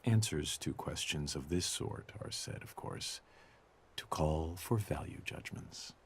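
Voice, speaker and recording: A man reading a philosophical quotation as a grave, questioning thinker, deliberate and very husky. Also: extremely low pitch, heavily acted. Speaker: voice actor reading quotations for game audio logs.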